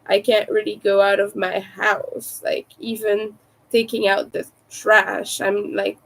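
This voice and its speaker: A woman with a raspy, soft-spoken voice, sick and low on energy, chatting casually and hesitantly on a livestream. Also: level tone, takes it slowly, rough recording. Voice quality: raspy from vocal cord damage. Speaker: livestream host, crafter.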